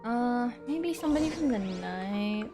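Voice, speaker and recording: Woman game streamer, high-ish register, informal running reactions over clear background music. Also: expressive, a little slow. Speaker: livestreamer playing a game. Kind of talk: casual gameplay reaction chat.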